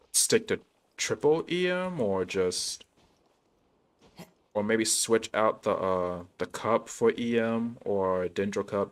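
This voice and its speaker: A man chatting casually on a gaming livestream in laid-back, slangy bro talk. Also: on the low side. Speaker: game livestreamer. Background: game music.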